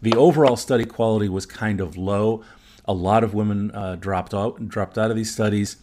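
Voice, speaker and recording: A man with a fairly low voice, a native German speaker, speaks as a medical expert on a podcast. He explains study findings informally, in a measured way, qualifying his points as he goes. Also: fairly even intonation, darkish tone. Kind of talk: informal evidence review in conversation.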